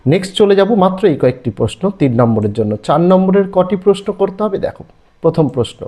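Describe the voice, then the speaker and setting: A man with an Indian Bengali accent teaches online, reading questions out one after another. His voice sits fairly high and swings widely in pitch, with a soft, mellow tone. He sounds instructional and emphatic, with a repetitive list-like rhythm. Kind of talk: exam-prep lesson, reading out listed questions.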